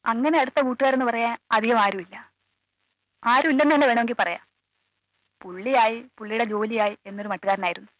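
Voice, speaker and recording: An actress playing a guarded, loyal aunt who lives with the family, answering carefully and matter-of-factly in a film drama. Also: female voice, a little high, fast talker, long pauses, fairly bright tone, no room sound, close to an everyday voice. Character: guarded, loyal female relative living in the household. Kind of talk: Malayalam film dialogue. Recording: dull old film soundtrack, faint music.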